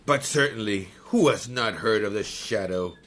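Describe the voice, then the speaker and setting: A man's voice: lowish voice, normal speed, fairly bright tone. An actor playing a haughty, smug male mystic who scorns his enemy, in 1930s-style radio drama acting. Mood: scornful. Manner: mocking, pompous, laughing.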